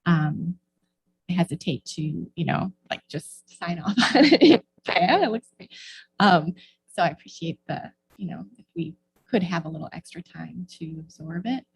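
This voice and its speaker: Halting, low-pitched middle-aged woman. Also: animated, vocal fry, low-quality recording. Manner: halting, hedging, searching for words. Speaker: former board member giving public comments. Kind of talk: informal remarks at a town meeting. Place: indoor local government meeting.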